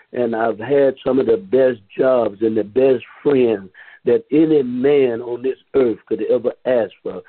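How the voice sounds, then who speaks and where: A man's voice: mid-range voice, husky. A man giving an earnest, repetitive testimony of thanks on a church conference call. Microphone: telephone. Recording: tinny phone line.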